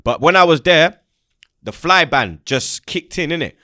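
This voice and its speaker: A young man with a London accent tells a travel story in loose street slang, his pitch climbing and dropping as he mimics the people he met. The recording is close and dry, with no room sound. He sounds relaxed, like he is chatting among mates. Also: normal speed, no room sound. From England. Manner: animated, slangy, acts out other people's lines. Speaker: podcast host. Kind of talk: casual storytelling chat.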